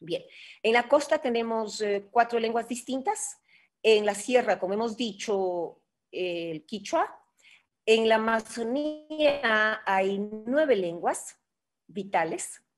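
Woman, middle-aged, Ecuadorian Andean accent, fairly high voice, online lecture, patient explanatory delivery, webcam audio. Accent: Ecuadorian Andean Spanish. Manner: measured, explanatory, with frequent fillers and rhetorical tags. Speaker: linguistics lecturer. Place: home office with bookshelves. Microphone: webcam on a video call.

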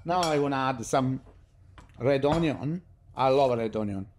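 A man's voice: mid-range voice, animated, measured pace, darkish tone, a little hiss or hum. A middle-aged Italian man with an Italian accent, chatting casually in non-native English as he demonstrates a recipe in his home kitchen. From Italy. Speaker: cooking show host.

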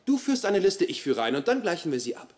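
An actor playing a nerdy, eager and apologetic young boyfriend in a dubbed sitcom, earnest and a little awkward. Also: male voice, sits high, sing-song, fast talker, background noise. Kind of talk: dubbed German sitcom acting.

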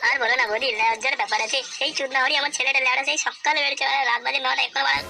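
A woman voicing a fussy, crude, excitable villager in a comedy cartoon. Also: sits high, very fast, vocal fry, music in the background. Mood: flustered.

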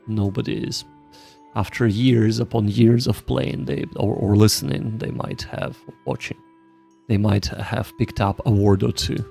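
A man hosting a livestream, chatting casually and explaining things to viewers in a halting way, often repeating himself. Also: fairly low pitch, vocal fry, a little mellow. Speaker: livestream host of a Japanese study session.

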